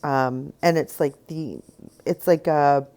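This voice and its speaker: A woman with a cold, a bit stuffy and slightly raspy, talking through a rock painting tutorial in a rambling way with many ums and uhs. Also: low-pitched, steady tone, slow, mellow. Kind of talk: casual painting tutorial narration.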